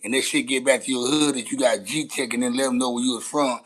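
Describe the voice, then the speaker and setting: A man's voice: mid-range voice, fairly even intonation, quick pace, fairly bright tone. An American man giving casual livestream commentary, with a blunt, slangy, repetitive way of talking. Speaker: content creator reacting to news clips.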